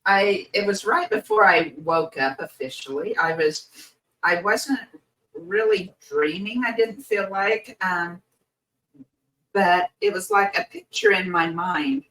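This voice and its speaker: A woman co-hosting a podcast, speaking slowly and reflectively with many pauses, her voice a little hoarse and croaky. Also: medium pitch, big pitch swings, fairly bright tone, far from the mic, rough recording. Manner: hesitant, many pauses and fillers. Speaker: podcast co-host. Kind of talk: slow reflective podcast chat.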